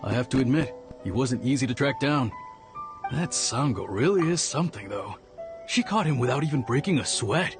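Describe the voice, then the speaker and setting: A man's voice: mid-range voice, animated, moderate pace, over music, natural voice. Man playing a dry, skeptical policeman. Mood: matter-of-fact. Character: matter-of-fact male police officer, skeptical, dryly sarcastic. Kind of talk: video game voice acting.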